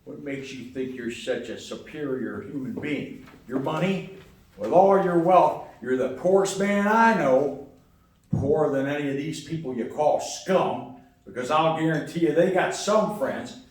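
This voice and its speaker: A man playing a plain-spoken, folksy elderly grandfather, outspoken and gently stubborn, in a live radio play. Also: a little high, a little slow, natural voice. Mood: indignant. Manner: plain-spoken, homespun, scolding then apologetic. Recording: echoey hall from far off, noisy.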